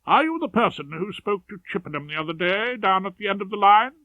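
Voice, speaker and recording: A man voicing a stern, accusing young girl leading schoolchildren, brisk and officious. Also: high-pitched, lively intonation, pushed and bright, no room sound, voice pushed up, exaggerated voice. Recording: narrow, radio-like audiobook sound.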